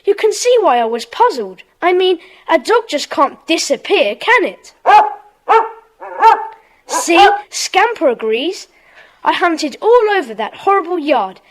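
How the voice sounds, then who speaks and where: A woman playing an excited schoolboy detective, earnest and eager, in a children's audio drama. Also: very high-pitched, measured pace, heavily acted, low-quality recording. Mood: excited, puzzled.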